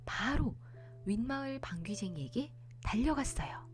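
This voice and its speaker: Expressive high-voiced woman storyteller. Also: a little slow, faint music behind. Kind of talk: children's bedtime folktale narration.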